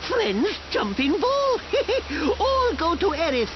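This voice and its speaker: Squeaky, cheerful little furball creature. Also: female voice, sing-song, takes it slowly, exaggerated voice. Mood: gleeful. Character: small excitable furry creature, childlike and cheerful. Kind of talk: video game cutscene acting. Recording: dull game audio with noisy ambience.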